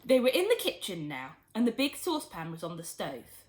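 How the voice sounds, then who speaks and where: A woman reading a children's storybook aloud in expressive, scripted narration. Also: medium pitch, a little fast. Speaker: storybook reader.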